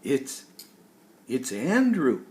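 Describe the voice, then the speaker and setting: A man's voice: mid-range voice, huge pitch swings, drawn-out words, darkish tone, full character voice. A man voicing an earnest, shy young boy in a storybook, speaking a little hesitantly. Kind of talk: storybook character voice.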